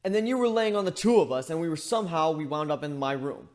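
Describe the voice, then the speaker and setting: A man's voice: fairly high pitch, quick pace, darkish tone, voice pushed up, lightly acted. A man voicing an anxious, easily flustered young male griffin in a story reading. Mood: panicked. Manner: dramatic, flustered.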